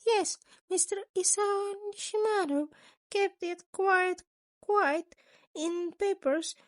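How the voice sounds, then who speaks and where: A woman's voice: extremely high pitch, no room sound, voice pushed up. A woman voicing a small male animal school principal, a cunning, gleeful schemer who talks in formal, wordy sentences in a fan fiction audio story. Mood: polite, gleeful. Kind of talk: acted dialogue in a fan fiction reading.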